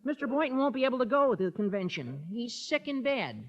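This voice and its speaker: An actor playing a guileless, chatty teenage schoolboy in a 1950s radio comedy, with a squawky, earnest, excitable voice. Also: male voice, very high voice, brisk. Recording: dull lo-fi 1950s radio recording.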